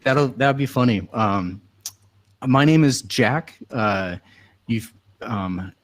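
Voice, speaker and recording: A man's voice: mid-range voice, animated, slow. A man hosting a casual chat on a video call. He sounds upbeat, laughs often and leans on "like" as a filler. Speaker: host and announcer. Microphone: computer mic on a video call.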